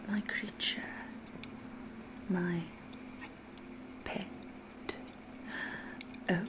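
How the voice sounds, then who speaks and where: A woman voicing a flirtatious, menacing vampire in an ASMR roleplay, refined and theatrical, with drawn-out phrases slipping into breathy whispers. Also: medium pitch, noisy background. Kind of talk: ASMR roleplay, scripted character monologue.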